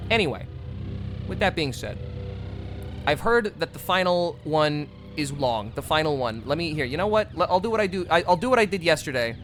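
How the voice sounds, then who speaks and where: A man's voice: fairly high pitch, a bit bright. Candid, rambling male streamer. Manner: candid and rambling, with restarts and swearing. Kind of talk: casual livestream chat with viewers.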